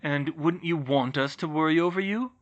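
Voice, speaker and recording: A narrator voicing a concerned young wizard who speaks softly and pleads gently with a friend in a storybook reading. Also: medium pitch, moderate pace, voice pushed up, close to an everyday voice. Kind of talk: audiobook reading of fan fiction. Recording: slightly muffled recording.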